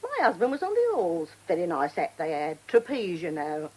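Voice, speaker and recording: A woman's voice: fairly low pitch, wildly swooping pitch, moderate pace, a little mellow, husky, full character voice. An actress playing a kindly, bustling working-class English charwoman in a radio play, forthright and full of colloquial endearments. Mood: chatty, kindly. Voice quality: colloquial, ungrammatical speech peppered with endearments. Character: Mrs Bangs, chatty, kindly, lonely working-class charwoman.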